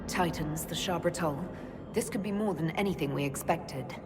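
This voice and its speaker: A woman's voice: sits low, moderate pace, lightly acted. An actress playing a serious, analytical female expedition leader in a fantasy video game. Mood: grave realisation. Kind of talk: video game cutscene acting. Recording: loud music behind.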